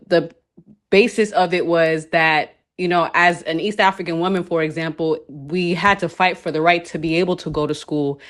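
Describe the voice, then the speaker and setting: A woman from Ethiopia joining a live panel discussion and speaking in a measured, earnest way with frequent pauses. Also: deep voice. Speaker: panel participant. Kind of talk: casual live-stream discussion.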